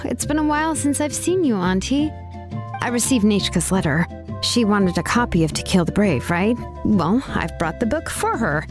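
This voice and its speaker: A woman's voice: medium pitch, natural voice. Easygoing young game heroine played by a woman. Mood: friendly. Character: easygoing, considerate young woman of a fantasy tribe. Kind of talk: anime game voice acting. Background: background music.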